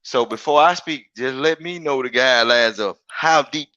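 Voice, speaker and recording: A man's voice: mid-range voice, moderate pace, sharp tone, no room sound, highs cut off. A man with a big voice, talking as a guest in a casual live-stream chat, rambling and emphatic, often repeating himself.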